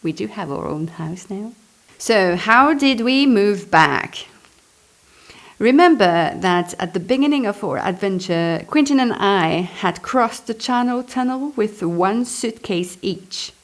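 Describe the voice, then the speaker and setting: A woman's voice: medium pitch, expressive, takes it slowly. A woman reads her own memoir aloud, expressively, adding chatty, self-deprecating asides. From Belgium. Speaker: author reading and commenting on own memoir.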